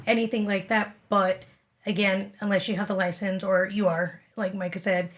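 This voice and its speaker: Female interview guest, medium pitch, informal and explanatory, speaking as a club member. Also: little pitch movement, normal speed. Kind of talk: casual explanatory interview talk.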